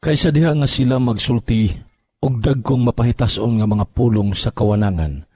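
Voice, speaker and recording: A man's voice: mid-range voice, normal speed, darkish tone, breathy edge, dry recording. A man with a Filipino accent giving a solemn, scripted reading of Bible verses. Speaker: scripture reader.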